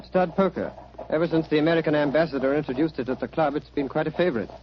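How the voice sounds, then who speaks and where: A man's voice: on the high side, fast talker, lightly acted. A man playing a proud, anguished young British army captain in a 1940s radio drama, speaking earnestly in clipped phrases. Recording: dull, noisy 1940s radio recording.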